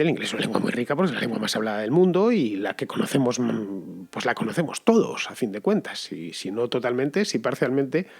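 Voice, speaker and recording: A man's voice: mid-range voice, vocal fry. Man hosting a listener-question podcast. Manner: relaxed and conversational, pauses with fillers. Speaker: podcast host answering listeners. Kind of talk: casual spoken commentary.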